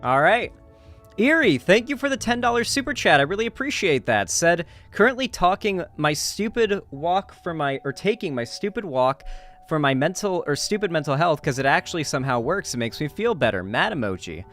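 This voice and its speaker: A man hosting a live stream, chatting casually and informally with his viewers in an animated way. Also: on the high side, normal speed, faint music behind. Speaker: livestream host.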